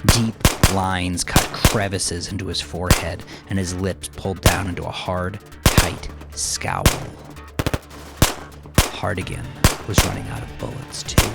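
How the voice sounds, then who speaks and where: A man with a gravelly voice reading fiction as a dramatic, intense and deliberate audiobook narrator. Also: low-pitched, steady tone. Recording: music behind the voice. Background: music.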